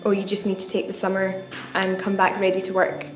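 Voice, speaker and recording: A woman's voice: mid-range voice, monotone, fairly quick. A young woman describing her experiences in a video testimony, speaking evenly and with some hesitation. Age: young adult. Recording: roomy, dull video soundtrack, faint music.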